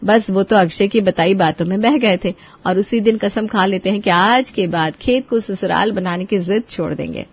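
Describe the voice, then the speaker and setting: A woman's voice: mid-range voice, moderate pace, hardly any pauses, lo-fi sound. A woman narrator reading a scripted moral tale in storytelling style.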